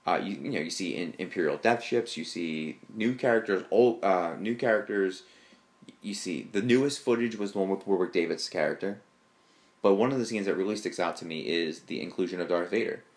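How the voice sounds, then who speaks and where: A man co-hosting a podcast, chatting casually and enthusiastically about films, with a deep voice and lots of fillers. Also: fairly quick. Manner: rambling, enthusiastic fan talk with fillers. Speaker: podcast co-host. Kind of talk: casual chat.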